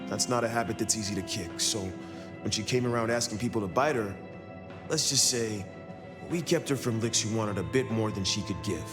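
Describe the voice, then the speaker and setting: A man's voice: lowish voice, a little fast, over music, natural voice. An actor playing an easygoing, friendly male street vampire in a video game, casual and plainspoken. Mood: matter-of-fact, kindly.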